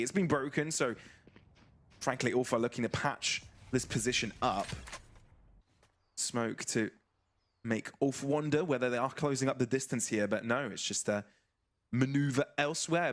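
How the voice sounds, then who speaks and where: A man doing live play-by-play commentary on an esports match, conversational and breaking into excited calls. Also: mid-range voice.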